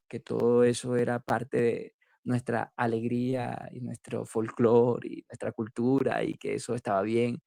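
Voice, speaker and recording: A man's voice: medium pitch, vocal fry, a little mellow, no room sound, studio quality. A man sharing his personal faith testimony in an interview, hesitant and full of fillers and restarts.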